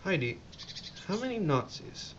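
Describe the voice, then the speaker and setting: An actor playing a calm, cautious American army captain with a measured, reassuring manner in an audio drama. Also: male voice, sits high, exaggerated voice. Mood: cautious, thoughtful. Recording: slightly muffled, noisy ambient backdrop.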